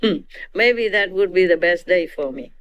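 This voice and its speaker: A woman's voice: on the low side, expressive, normal speed, muffled recording. A woman with a Vietnamese accent giving an informal teaching to disciples. She laughs often and keeps checking in with her listeners. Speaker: spiritual teacher.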